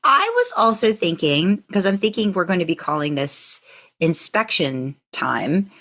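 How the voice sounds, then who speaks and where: A woman's voice: lowish voice, big pitch swings, a little slow, a bit bright. An American woman co-hosting a podcast, talking in a casual, conversational way. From United States. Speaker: podcast co-host. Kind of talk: casual fandom podcast chat.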